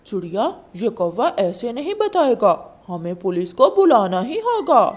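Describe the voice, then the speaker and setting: An urgent adult pigeon in a cartoon acted by a woman, with a fairly high voice. It gives commands at a measured, slow pace. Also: sing-song, a little mellow. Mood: demanding.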